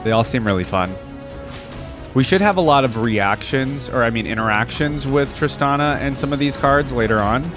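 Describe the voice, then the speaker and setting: A man's voice: mid-range voice, expressive, moderate pace, over music. A young man giving casual reaction commentary as a video host, musing aloud in an informal way.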